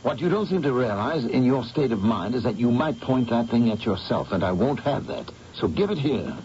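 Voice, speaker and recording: An actor playing a firm, take-charge English painter in a 1970s radio drama. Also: male voice, medium pitch, animated, normal speed, darkish tone, close to an everyday voice. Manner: firm, takes-charge, urgent. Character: commanding English gentleman painter. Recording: dull old radio broadcast, faint hiss.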